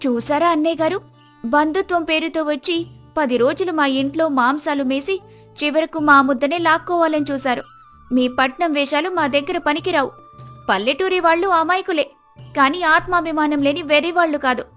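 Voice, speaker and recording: A clever village wife from a moral tale, played by a woman, speaks in a high voice. She is sharp-tongued and pointed. Soft music sits behind her. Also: moderate pace. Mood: firm, scolding. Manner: sarcastic, pointed.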